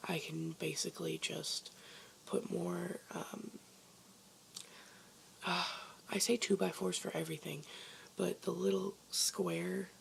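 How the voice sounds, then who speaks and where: Hoarse girl vlogging from her bed in the basement, super gravelly fry, rambly with tons of ums. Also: on the low side, steady tone, takes it slowly, long pauses, slight background noise. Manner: rambling, lots of ums and likes. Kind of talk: casual chat to camera.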